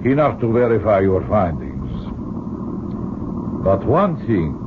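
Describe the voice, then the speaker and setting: Slow, deep, courtly older archaeologist. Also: male voice, very animated. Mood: wistful. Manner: courtly, deliberate. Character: courtly older foreign archaeologist, male, wistful godfather. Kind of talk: old-time radio drama acting. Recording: noisy, tinny old radio broadcast.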